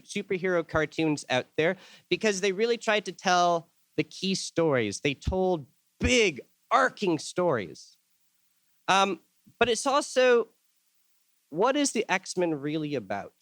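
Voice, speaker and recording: A man's voice: high-pitched, no room sound. A man who grew up in El Salvador, giving an enthusiastic workshop talk to an audience and often repeating words as he thinks. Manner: enthusiastic, repeats words while thinking, acts out quoted voices.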